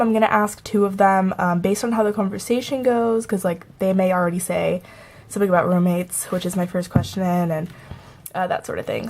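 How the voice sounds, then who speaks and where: A woman's voice: mid-range voice, a little mellow. A young woman vlogging in a casual, chatty way, laughing often and a little stuffy from a cold. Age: young adult. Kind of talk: casual chat to camera.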